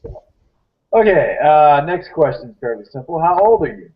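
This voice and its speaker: A man's voice: on the high side, big pitch swings, normal speed. A man in his thirties hosting a casual panel chat, rambling and repeating words as he makes his point. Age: 30s. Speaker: host. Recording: muffled lo-fi stream audio.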